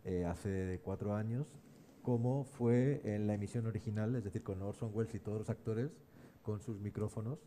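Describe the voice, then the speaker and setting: Guy moderating a panel, really mellow dark voice, measured and a bit hesitant, faint noise behind. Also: on the low side, fairly even intonation. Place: live round-table event.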